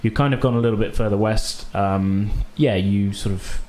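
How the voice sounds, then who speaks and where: A man's voice: fairly low pitch, normal speed, darkish tone, slight background noise. A man hosting and game-mastering a tabletop roleplay podcast in an informal, casual, slightly hesitant way, rephrasing as he goes.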